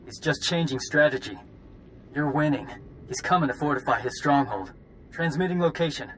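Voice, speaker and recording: A man's voice: fairly high pitch, animated, normal speed, noisy background, natural voice. A man voicing an urgent, helpful informant in a video game, in clipped phrases. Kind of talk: dramatic video game dialogue.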